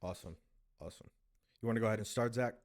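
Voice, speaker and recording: A man's voice: on the low side, rapid-fire. A man co-hosting a podcast, giving a casual fight breakdown and chatting with a guest. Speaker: podcast co-host. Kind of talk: casual MMA breakdown and interviewing.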